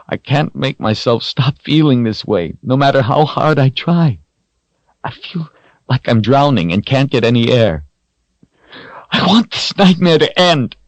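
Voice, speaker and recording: A man's voice: mid-range voice, dry recording, voice pushed up, natural voice. A man voicing a troubled young hero, his lines strained and rising into anguished outbursts.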